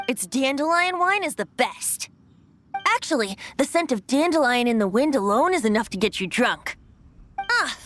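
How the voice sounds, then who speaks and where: A woman voicing a youthful, playful, gentle boy bard in a video game, airy and theatrical. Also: high-pitched, a little hiss or hum, heavily acted.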